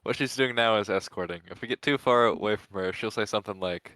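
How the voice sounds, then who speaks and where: A man co-reading a fanfic, casually explaining things with relaxed fillers. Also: lowish voice, fast, no echo. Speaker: co-reader and commentator.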